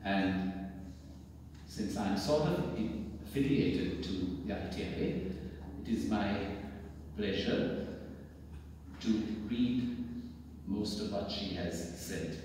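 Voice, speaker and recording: A man's voice: fairly low pitch, takes it slowly, pauses often, a little mellow. An older man reads a formal speech aloud in a declamatory, theatrical, emphatic way. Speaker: speaker reading a formal message on stage. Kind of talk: formal read speech, oratorical. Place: indoor theatre stage. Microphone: far camera in the audience. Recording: very echoey theatre hall, distant mic, faint noise.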